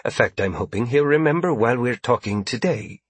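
A man voicing an earnest woman officer in an audiobook, measured and pleading in manner. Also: medium pitch, animated, slightly rough, no echo. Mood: dry, composed. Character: earnest woman officer, former pilot, negotiating. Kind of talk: audiobook character voice.

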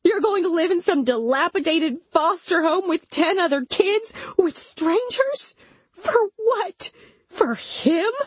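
A woman voicing a bitter, unstable mother in an audiobook, shrill and accusing. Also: lively intonation, extremely slow, sharp tone, no room sound, heavily acted. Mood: bitter accusation. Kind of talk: dramatised audiobook dialogue.

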